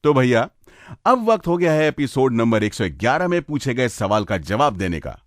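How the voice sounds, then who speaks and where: Animated male radio host, lively pitch. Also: moderate pace, no echo, clean studio sound. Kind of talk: radio presenting, conversational.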